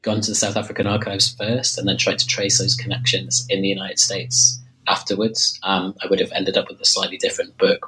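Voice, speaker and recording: Deep bright-toned man with a cold. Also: a little hiss or hum, poor audio. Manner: measured, thoughtful, many fillers and restarts. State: slight cold, losing voice. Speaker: historian, book author. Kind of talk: academic interview answers.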